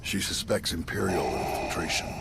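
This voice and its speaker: A man voice-acting a calm, solemn, fatherly mentor with a gravelly voice in a video game. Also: sits low, normal speed, background noise.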